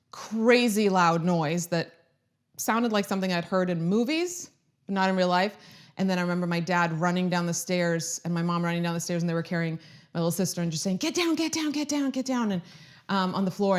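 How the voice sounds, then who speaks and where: A woman in her thirties from Croatia, hosting a casual live-stream chat in a chatty, upbeat way, rambling with restarts and asides. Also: lowish voice. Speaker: lifestyle video host.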